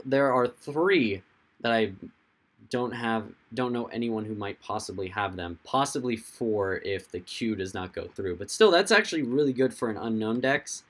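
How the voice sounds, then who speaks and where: A young man with a hoarse, fading voice, hosting a casual livestream, rambling and answering viewers' chat comments as they come in. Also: fairly low pitch, fairly bright tone. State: hoarse, losing his voice. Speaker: livestream host.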